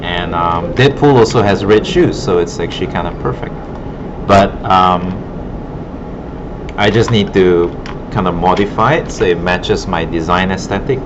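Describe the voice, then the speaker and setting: A man teaching art, giving a casual, halting demo commentary in a classroom, thinking aloud as he goes. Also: low-pitched. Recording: muffled broken audio, loud room noise.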